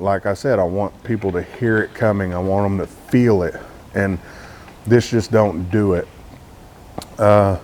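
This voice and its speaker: A man's voice: fairly low pitch, a little mellow, slight background noise. A man from Texas with a Texan accent, talking casually to camera as a car vlogger, candid and rambling with lots of "uh" fillers.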